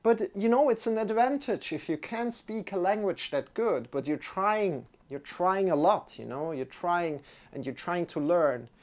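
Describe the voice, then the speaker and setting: Young German yoga teacher, casual livestream chat, clip-on mic, loose and rambling. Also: male voice, high-pitched, animated, a little slow, hardly any pauses. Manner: rambling, friendly, restarts often. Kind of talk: casual chat with live viewers. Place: indoor room, sitting on a yoga mat.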